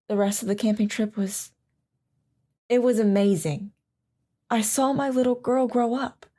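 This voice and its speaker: A woman's voice: mid-range voice, warm tone, close to an everyday voice. A woman playing a loving mother in an audio drama, speaking in a reflective, sentimental way. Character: loving, wistful mother recalling camping with her daughter. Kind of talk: audio drama narration and dialogue.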